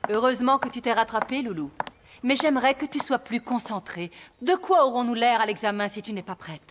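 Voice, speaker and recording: A woman's voice: on the high side, animated, brisk, a little hiss or hum, lightly acted. A woman playing a stern, bossy ballet teacher in a cartoon, strict and commanding. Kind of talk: cartoon voice acting.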